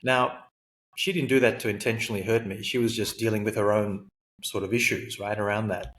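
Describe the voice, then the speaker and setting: Middle-aged male coach with a lowish voice, reflective interview guest. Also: flat delivery, brisk, fairly bright tone, slight background noise. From Sri Lanka, raised in Australia. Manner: thoughtful, frequent fillers and self-corrections. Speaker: guest, high-performance coach.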